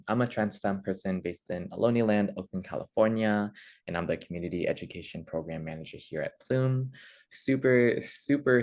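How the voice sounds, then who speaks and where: A woman hosting an online webinar on a video call, friendly and upbeat, with lots of "um"s and "super excited" enthusiasm. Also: extremely low pitch. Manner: upbeat, friendly, many fillers. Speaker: webinar host, community education manager.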